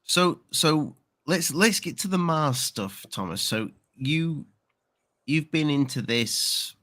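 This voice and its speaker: Host: man, easygoing and slangy, slow pace, bright forward tone. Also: a little high, animated. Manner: informal, slangy. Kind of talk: casual interview chat.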